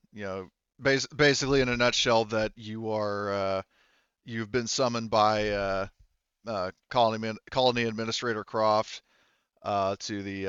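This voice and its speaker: A man speaking as game master, casually narrating a tabletop roleplaying game in a halting, improvised way. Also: on the low side, a bit bright, clear, resonant tone, no room sound, lo-fi sound. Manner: halting, informal, loosely improvised delivery.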